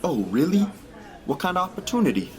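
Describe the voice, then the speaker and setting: A man's voice: high-pitched, big pitch swings, brisk, a little mellow, a faint crowd. Guy acting a naive eager young man, earnest, radio drama type thing. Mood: eager, amazed. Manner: earnest, wide-eyed.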